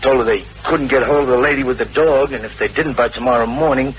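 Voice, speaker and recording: A man's voice: medium pitch, quick pace, lightly acted. A man playing a worried, working-class young father and taxi driver in a radio drama, hesitant and plain-spoken. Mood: earnest, uneasy. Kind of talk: old-time radio drama dialogue. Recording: muffled, noisy vintage radio recording.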